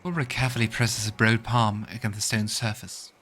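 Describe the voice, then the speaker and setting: A man's voice: fairly low pitch, flat delivery, brisk, fairly bright tone. A man hosting a let's play, casually commenting, musing aloud and reading game text. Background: faint game music.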